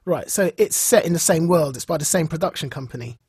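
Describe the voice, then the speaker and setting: Husky man chatting, close and dry. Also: a little high, a little fast, no echo. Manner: animated storyteller, repeats phrases for emphasis. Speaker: podcast co-host. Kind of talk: casual chat recounting and reviewing a show.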